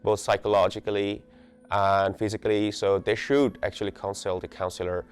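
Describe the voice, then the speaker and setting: A man's voice: lowish voice, steady tone, normal speed, clean tone. A man from Bangladesh, a doctoral student, giving an earnest interview account. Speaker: PhD student in biology. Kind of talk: interview testimony. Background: soft music.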